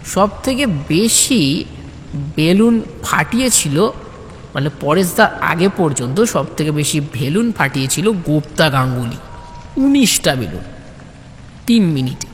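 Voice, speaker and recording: A man tells a comic story in a high-pitched, slightly slow voice. He stretches words for emphasis and slips in playful colloquial asides. Long hall-like reverb surrounds his voice. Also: a little hiss or hum. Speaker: audio story narrator. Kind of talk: animated first-person comic story narration.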